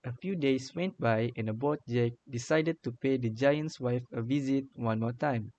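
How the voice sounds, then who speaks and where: Mellow male storybook narrator. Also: mid-range voice, normal speed, lo-fi sound. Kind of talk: scripted storybook narration for radio drama.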